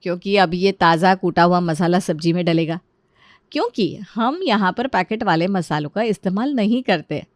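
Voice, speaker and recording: A woman voicing a dutiful, traditional elder sister-in-law who explains gently and reassuringly in a family story. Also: lowish voice, normal speed, no echo, studio quality, natural voice. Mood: patient, instructing. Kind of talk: acted Hindi family story dialogue.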